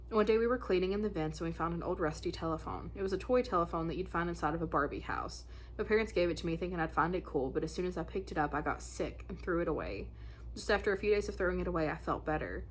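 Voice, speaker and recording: A woman with a fairly low voice tells creepy stories in a casual, chatty style. She slips into slangy asides as she goes and sounds a bit stuffy-nosed. There is some light noise in the recording. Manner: informal, conversational, slangy asides. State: congested, stuffy nose. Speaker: host of a spooky story channel. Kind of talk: casual chat and reading of listener horror stories.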